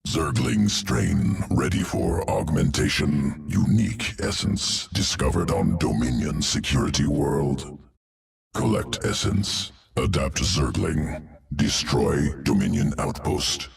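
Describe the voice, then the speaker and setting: A male alien creature from a video game that reshapes living flesh, his voice extremely low and gravelly. He talks in slow, clipped fragments with cold, clinical detachment. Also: expressive, heavily acted. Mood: detached. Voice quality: gravelly, very deep. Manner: terse broken grammar, detached and clinical.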